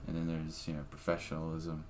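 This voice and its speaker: A man's voice: very deep voice, monotone, fast talker, darkish tone, slight background noise. A man, a comedian guest on a podcast, talking casually in a blunt, profane, opinionated way.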